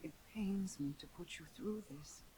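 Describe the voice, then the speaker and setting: A woman's voice: lowish voice, unhurried, warm tone, a little hiss or hum, close to an everyday voice, low-quality recording. An actress playing a regal, sorrowful queen and protective sister, solemn, formal and restrained, in a video game cutscene. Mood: solemn regret.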